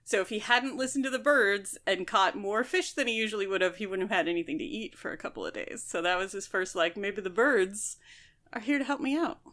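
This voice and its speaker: A woman's voice: mid-range voice, brisk, a bit bright. A woman podcast host casually discussing a book, explaining things with mock quotes as she goes.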